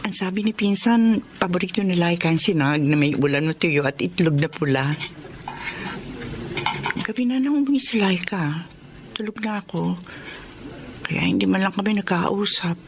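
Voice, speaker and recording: A woman's voice: fairly low pitch, normal speed, long pauses, background noise, natural voice. An actress playing an eager, affectionate mother home from working abroad, earnest and longing for her daughter, in a radio drama. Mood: wistful.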